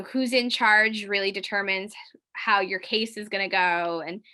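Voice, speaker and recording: A woman's voice: on the low side, bright, forceful tone. A young American woman, an immigration attorney, speaking earnestly and conversationally on a law school panel. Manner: earnest, conversational, with fillers and restarts. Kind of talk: panel discussion.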